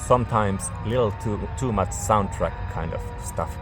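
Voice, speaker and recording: A man casually reviewing albums in a halting way, searching for words as he goes. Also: lowish voice, over music. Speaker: music reviewer.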